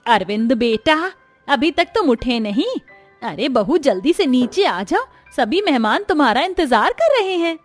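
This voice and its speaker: A woman's voice: high-pitched, big pitch swings, unhurried, faint music behind. A woman voicing a fretful, affectionate middle-aged village mother-in-law in a Hindi cartoon story, theatrical and exclaiming. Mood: urging.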